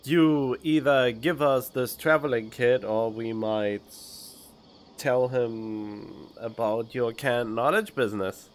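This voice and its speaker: A man's voice: mid-range voice, slow pace. A man streaming a game with casual playthrough commentary into a studio microphone. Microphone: studio condenser microphone. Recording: game music behind the voice.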